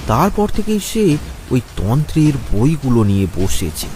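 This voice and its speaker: A man's voice: mid-range voice, sing-song, unhurried. A man narrating a cartoon story in a scripted, storytelling style. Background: music.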